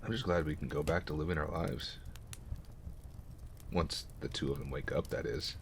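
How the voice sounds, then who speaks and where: A man's voice: very deep voice, normal speed, gravelly, slight background noise. A man playing a hesitant, concerned companion of a hero in a fantasy audio drama. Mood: relieved. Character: worried, low-voiced male companion of a hero.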